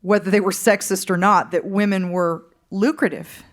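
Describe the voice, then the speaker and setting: A woman singer-songwriter from the Upper Midwest, answering interview questions on stage in a relaxed, hesitant way. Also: mid-range voice, moderate pace. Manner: relaxed, hesitant, laughs easily. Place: stage in a concert hall.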